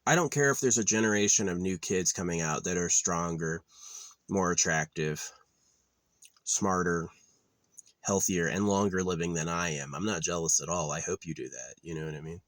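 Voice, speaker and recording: A young man with a very low voice rambles on in an offhand, meandering way, often trailing off into filler. He's outdoors at a quiet campsite. The sound is dry and close, with little room around it. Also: normal speed, no echo. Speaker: vlogger. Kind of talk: rambling casual monologue.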